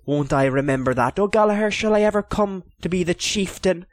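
A man's voice: high voice, expressive, fairly quick, dry recording, studio quality, pitched above the performer's own voice. A man reading aloud voices a proud, dreamy young noble boy. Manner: earnest, dreamy.

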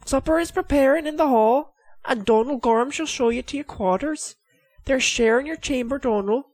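A spirited, sharp-tongued noble lady. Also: high-pitched, normal speed, no room sound, pitched above the performer's own voice. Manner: bold, teasing, commanding.

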